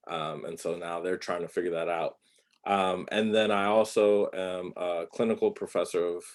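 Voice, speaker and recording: A man speaking in a casual panel conversation over a video call, friendly and upbeat, with frequent ums. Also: on the low side. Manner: friendly, upbeat, filler-heavy. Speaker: design professor and founder.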